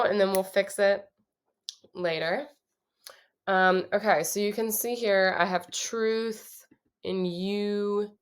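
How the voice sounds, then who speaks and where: A young woman with a low voice, talking through her songwriting process in a relaxed, casual way with many pauses. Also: clear, resonant tone. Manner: relaxed, thinking aloud with many ums.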